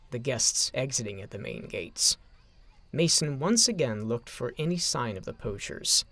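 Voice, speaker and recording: A man narrating a scripted story in a dramatic, expressive storytelling manner. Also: mid-range voice, no room sound.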